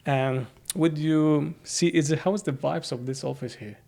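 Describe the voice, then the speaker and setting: A man's voice: fairly high pitch, moderate pace, creaky, dark tone. A man hosting a podcast interview in an office, friendly, with loose phrasing and frequent laughs. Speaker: podcast host.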